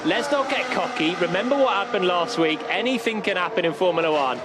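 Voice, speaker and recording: A man works as co-commentator on a live motor race, explaining the moves as they happen. His voice is high. Loud music and car noise sit behind it. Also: expressive, brisk.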